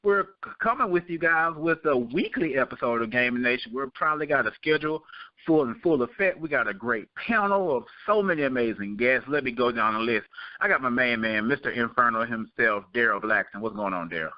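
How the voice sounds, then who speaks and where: A man's voice: medium pitch, animated, fairly quick, no echo. Casual male podcast host, dry muffled sound. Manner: chatty, addresses guests as my man. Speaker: show host. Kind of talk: casual panel show hosting.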